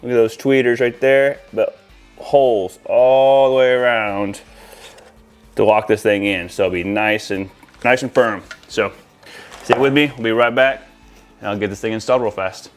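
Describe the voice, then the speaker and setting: A man's voice: lowish voice, fairly even intonation, moderate pace, faint music behind. A man talking informally to viewers as an installer, walking through a product demo in a casual way and addressing them as guys. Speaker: audio-video installer presenting to viewers.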